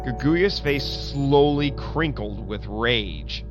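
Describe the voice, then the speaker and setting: A man's voice: medium pitch, expressive, takes it slowly, dull audio. A man narrating a scripted audio drama as a journal entry. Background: prominent background music.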